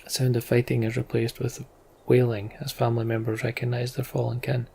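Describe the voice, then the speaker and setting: Scottish male let's play host, storytelling narration and casual commentary, very creaky voice at medium pitch. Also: completely flat delivery, brisk, warm tone, a little hiss or hum. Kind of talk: game commentary and dramatic narration of on-screen text.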